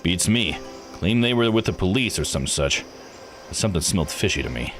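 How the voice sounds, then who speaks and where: A man voicing a gruff, folksy old island boss in a visual novel, blunt and dismissive. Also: low voice, expressive, brisk, fairly bright tone, faint music behind. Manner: blunt, slangy, domineering. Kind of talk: visual novel read-aloud voice acting.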